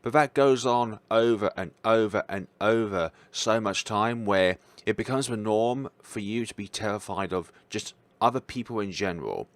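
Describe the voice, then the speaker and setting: A man's voice: fairly low pitch, moderate pace, few pauses, no room sound. A man hosting a visual novel playthrough, reading the narration expressively and adding reflective commentary. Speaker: gaming video host.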